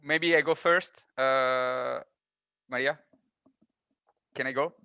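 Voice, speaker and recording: A man whose first language is not English, speaking on a webinar panel with halting, repetitive phrasing. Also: medium pitch, steady tone, bright, forceful tone, clear, resonant tone, no echo, muffled recording. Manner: halting, repeats words, non-native phrasing. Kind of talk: online panel discussion. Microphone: video call.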